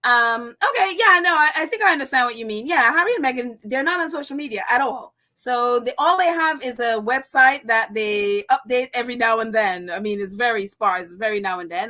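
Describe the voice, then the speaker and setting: A woman with a Caribbean accent hosts a casual livestream and reads out viewer comments. Her voice sits high and sounds bright and crisp. She laughs a lot and fills pauses with ums. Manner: chatty, laughs often, many fillers. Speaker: channel host reading live chat.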